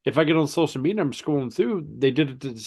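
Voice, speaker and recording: A man co-hosting a casual podcast with a stuffy, nasal voice, telling stories in a halting way with many restarts. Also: a little high, lively intonation, a little mellow. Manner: halting, repeats words, storytelling. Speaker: podcast co-host.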